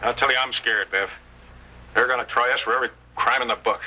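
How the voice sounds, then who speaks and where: An actor playing a nervous, slow-witted outlaw sidekick in an old Western radio drama, talking in anxious cowboy slang. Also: male voice, mid-range voice, steady tone, close to an everyday voice. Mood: worried. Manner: anxious, colloquial cowboy talk. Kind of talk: 1930s-40s Western radio acting. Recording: faintly noisy old-time radio recording.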